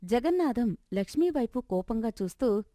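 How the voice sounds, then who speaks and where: A woman's voice: a little high, sing-song, normal speed, warm tone, dry recording. A woman narrating a scripted folk story.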